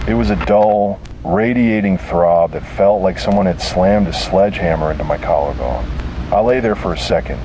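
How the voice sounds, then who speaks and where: A man reads a scripted first-person horror story dramatically, in short deliberate phrases. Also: low voice, background noise.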